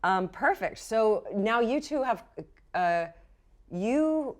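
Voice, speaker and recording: A woman's voice: fairly high pitch, expressive, slow, a little mellow. A woman game master narrating and explaining rules off the cuff in an encouraging way. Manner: encouraging, affirming.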